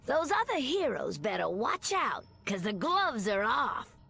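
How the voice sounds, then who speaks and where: A confident Southern American woman superhero, with a slightly high voice and gravelly creak at the edges. She drawls sassy, folksy game banter. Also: big pitch swings, measured pace, bright, forceful tone, full character voice. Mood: playful, sassy. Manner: drawling folksy banter, calls people sugar. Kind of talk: video game character dialogue.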